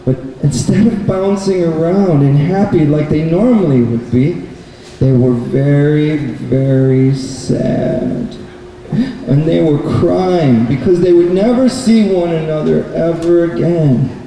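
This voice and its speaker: A man telling a children's story at a live puppet show, in simple, deliberate phrases with repeated words. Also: fairly high pitch, creaky, dark tone. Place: public event space indoors, far from mic. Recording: very echoey noisy hall, distant mic.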